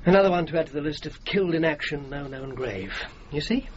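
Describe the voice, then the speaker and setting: An actor playing a courteous, determined senior British officer with an English accent, speaking formally and deliberately in a radio drama. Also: male voice, fairly high pitch, natural voice. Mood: resigned, brisk. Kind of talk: radio drama narration and dialogue. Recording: slightly muffled radio recording, background noise.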